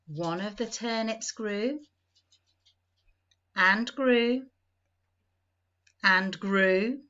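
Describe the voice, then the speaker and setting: A woman reading a storybook aloud to young children, in an emphatic, deliberate manner with expressive phrasing. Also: mid-range voice, highs cut off.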